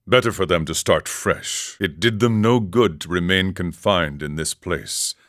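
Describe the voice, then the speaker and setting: A man voicing an older, serene and wry wandering ascetic monk in a video game, speaking in a solemn, measured, philosophical way. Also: sits low, a bit bright. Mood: calm, wry. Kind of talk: scripted game character dialogue.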